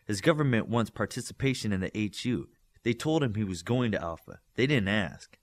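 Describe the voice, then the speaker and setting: A man's voice: fairly low pitch, lively intonation, a little fast, natural voice. A man voicing a teasing, knowing young telepath girl in an audiobook story. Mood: matter-of-fact. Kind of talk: audiobook character dialogue.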